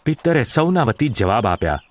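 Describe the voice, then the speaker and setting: A man's voice: mid-range voice, big pitch swings, brisk. A man narrating a gospel audio drama in a formal, solemn storytelling style.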